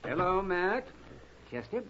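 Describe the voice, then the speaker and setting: An actor playing a fussy, excitable frontier town doctor in a 1950s radio western, chatty, animated and raspy. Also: male voice, high voice, slow pace, faint music behind, full character voice. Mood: chatty, prodding. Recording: muffled 1950s radio recording.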